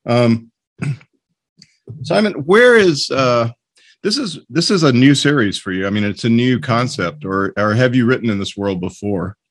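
A man's voice: mid-range voice, expressive, unhurried. A man working as a podcast host, reading announcements and hosting an author interview.

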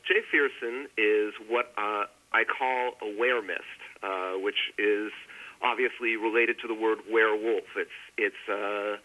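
A man's voice: on the low side, bright, forceful tone, poor audio. A man speaking as an author guest in an interview, explaining in a conversational way with hesitant restarts.

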